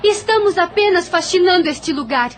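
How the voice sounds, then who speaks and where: A woman plays a young plantation mistress in an audio drama, compassionate yet determined. Her voice is very high, and she speaks with earnest authority. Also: lively intonation, normal speed. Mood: firm, defiant. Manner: earnest, commanding.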